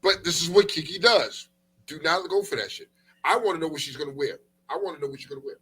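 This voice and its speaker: A man co-hosting a casual talk show with profane, slangy, teasing banter, animated and repeating himself for emphasis. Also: a little high, fast talker, husky.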